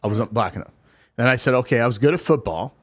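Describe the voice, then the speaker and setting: A man's voice: medium pitch, lively intonation, fast talker. A middle-aged man, a guest on a podcast, chatting casually and telling stories, often checking in with "right?". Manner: storytelling, frequent "right?" asides. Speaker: podcast guest, tech recruiter and dating coach. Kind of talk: casual interview chat.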